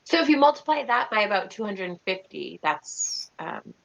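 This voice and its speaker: A woman's voice: medium pitch, very animated, normal speed, creaky, fairly bright tone, low-quality recording. A woman chatting casually in an online tabletop game, in a hesitant, piecemeal way. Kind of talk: casual in-character chat.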